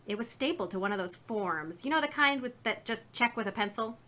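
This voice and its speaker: A woman's voice: on the high side, expressive, fairly quick, sharp tone. An actress playing a chatty, indignant, folksy woman shopkeeper in a 1950s radio drama. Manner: folksy, garrulous, emphatic.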